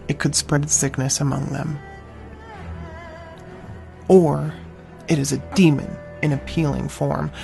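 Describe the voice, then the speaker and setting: An actor playing a wary, stern great-cat hunter, narrating in the first person in grave, clipped, deliberate phrases for an audio drama. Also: male voice, on the high side, creaky, darkish tone. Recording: music under the voice. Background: dramatic music.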